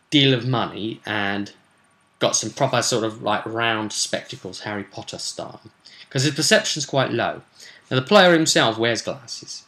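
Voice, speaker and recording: A man's voice: medium pitch, a bit bright. A man talking casually as a game master, recapping a tabletop session in a chatty, rambling, explanatory way. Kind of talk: casual vlog recap of a role-playing session.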